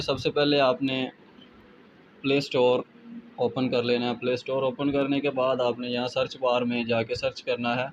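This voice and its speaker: A man with a Pakistani accent giving a casual step-by-step app tutorial as a YouTuber, addressing viewers as friends. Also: medium pitch. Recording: muffled lo-fi with clear background noise.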